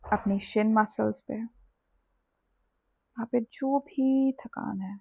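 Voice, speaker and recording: An Indian-accented woman guides listeners toward sleep. Her delivery is extremely slow and soothing, with long pauses between short phrases. The voice has a slight creak and sits close and dry, with very little room sound. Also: a little high, fairly even intonation, mellow, no echo. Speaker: hypnosis and meditation guide. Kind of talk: guided sleep hypnosis with body scan.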